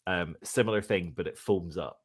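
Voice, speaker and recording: A young man with a low-pitched voice chats off the cuff as a podcast co-host. He is on a video call from an indoor room, talking loosely and informally. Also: moderate pace, breathy edge. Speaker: co-host of a musical theatre podcast. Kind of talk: casual chat on a livestreamed quiz show.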